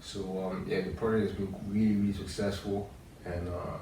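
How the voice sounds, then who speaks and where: French-accented male guest, very deep monotone, plain measured telling, distant roomy mic with faint hiss. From France. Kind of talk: interview storytelling.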